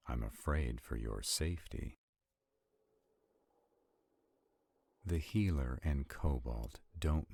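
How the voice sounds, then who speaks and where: Man playing ancient male vampire, extremely low voice, husky, haughty, brooding, slow, audio roleplay. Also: darkish tone, exaggerated voice. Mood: protective, worried. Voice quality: gravelly, husky. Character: arrogant centuries-old male vampire, protective, skeptical.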